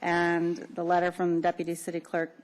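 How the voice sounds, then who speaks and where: A woman reading a list of filed documents into the record at a hearing, in a formal, even way. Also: lowish voice, normal speed.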